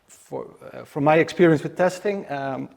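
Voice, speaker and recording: A man's voice: on the high side, moderate pace, vocal fry, darkish tone. A man from the Netherlands with a Dutch accent, giving a live conference talk to an audience in a hesitant way, with many filler sounds and restarts. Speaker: conference speaker. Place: conference room.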